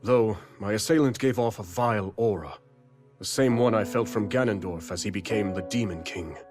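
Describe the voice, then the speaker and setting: An actor playing a noble, kindly king in a video game, speaking in a grave, measured, regal manner. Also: on the low side, natural voice. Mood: grave, wary. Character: noble, solemn, kindly king guarding his realm. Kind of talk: video game cutscene acting. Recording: music behind the voice.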